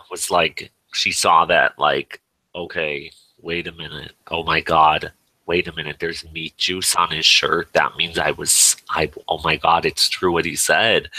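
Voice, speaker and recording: A man with a very deep voice in casual chat, telling a personal story in an emphatic, rambling way and acting out what was said. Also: bright, forceful tone, dry recording, low-quality recording. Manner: emphatic, rambling, acts out quoted remarks. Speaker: participant in an online voice chat.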